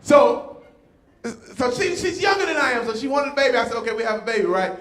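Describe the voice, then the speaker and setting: Animated man in his fifties doing stand-up. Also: very high-pitched, quick pace, reverberant. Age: 50s. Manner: animated storytelling, acting out voices. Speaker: stand-up comedian. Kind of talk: stand-up comedy set. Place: comedy club stage with live audience.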